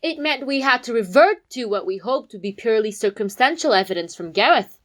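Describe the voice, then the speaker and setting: A woman playing a confident young detective in an amateur comedy whodunnit, explaining with dramatic pauses. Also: on the high side, lively intonation, lightly acted. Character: confident young detective laying out a murder case.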